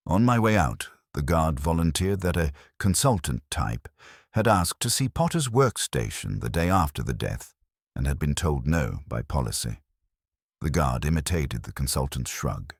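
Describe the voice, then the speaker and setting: A man's voice: a bass voice, dry recording, studio quality. A man reading a detective story aloud as a scripted audiobook narration.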